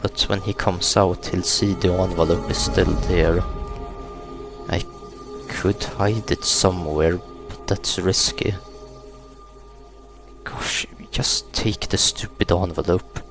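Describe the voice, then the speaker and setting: A man reading a horror visual novel aloud in a subdued, unhurried way, as a gaming YouTuber narrating a playthrough. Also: low voice, steady tone. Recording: music behind the voice. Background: game music.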